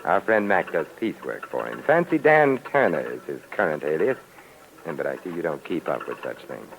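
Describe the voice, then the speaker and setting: An actor playing an urbane, witty gentleman sleuth with mock-polite delivery in an old-time radio drama. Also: deep voice, very animated, a little fast, vocal fry, heavily acted. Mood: wry, amused. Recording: noisy vintage radio recording.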